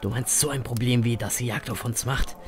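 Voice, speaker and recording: A man's voice: mid-range voice, steady tone, fairly quick, a crowd in the background, lightly acted. A man playing a sardonic, hot-tempered grim-reaper ferryman who also narrates a fantasy horror audio drama. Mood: accusing. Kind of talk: audio drama acting with first-person narration.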